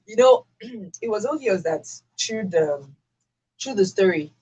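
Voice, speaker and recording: A woman with a Nigerian accent speaks as a radio talk show host. Her voice has a slightly husky edge at a middling pitch. She is emphatic and opinionated, and often repeats a phrase to press her point. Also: expressive, unhurried, darkish tone, low-quality recording.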